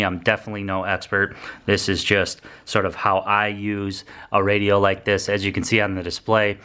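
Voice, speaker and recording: Male product reviewer, slightly deep voice, informal and plainspoken, walking listeners through features casually. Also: bright, forceful tone, smooth.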